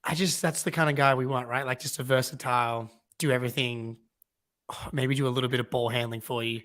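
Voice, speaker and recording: A man's voice: medium pitch, fairly even intonation, a little fast, a bit bright. A young man co-hosting a live fan reaction stream, chatting casually and agreeing often with fillers. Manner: easygoing, agrees a lot, fillers like "yeah, dude" and "um". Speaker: fan channel co-host.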